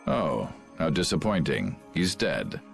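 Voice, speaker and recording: A man's voice: extremely low pitch, big pitch swings, slow, vocal fry, exaggerated voice. An actor playing a cold, scheming commander who mocks his captives in a game cutscene. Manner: cold, gloating, mocking laughter. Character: scheming ruthless male commander, middle-aged. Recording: game audio with music behind.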